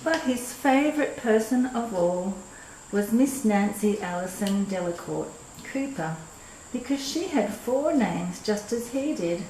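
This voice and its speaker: A woman's voice: medium pitch, lively intonation, unhurried, mellow. Older woman reading a storybook, roomy echo. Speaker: storyteller reading a picture book. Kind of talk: scripted storybook narration for children. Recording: roomy echo with clear background noise.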